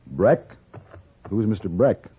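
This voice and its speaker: An actor playing a calm, worldly gunfighter in an old-time radio western, speaking in a measured, well-spoken, probing way. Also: male voice, lowish voice, a little hiss or hum. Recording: muffled vintage radio recording with music.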